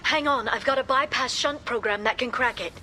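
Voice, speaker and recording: A woman's voice: fairly high pitch, fairly quick, pushed and bright, slight background noise. An actress playing a determined, guilt-burdened alien woman in a video game, speaking in an earnest, clipped, serious way. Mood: urgent, wry. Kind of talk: dramatic video game voice acting. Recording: music behind the voice. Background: game soundtrack music.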